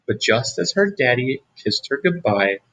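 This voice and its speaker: A man reading a picture book aloud as a teacher, pausing for asides to young listeners. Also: mid-range voice, very animated, a bit bright, low-quality recording. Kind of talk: read-aloud storytelling.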